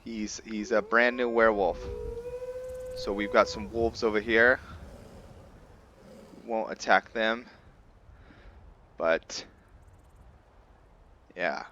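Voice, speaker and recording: Deep-voiced man, slow, halting gaming commentary. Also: steady tone, sharp tone, muffled recording, a little hiss or hum. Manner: halting, thinks aloud with pauses. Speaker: gaming channel host narrating a playthrough. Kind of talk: casual let's play commentary of a modded fantasy RPG.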